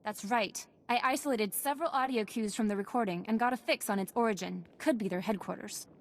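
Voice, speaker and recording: An actress playing an eager, capable junior corrections officer in a video game, giving crisp technical reports. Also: female voice, medium pitch, a little fast, lightly acted. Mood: helpful, earnest.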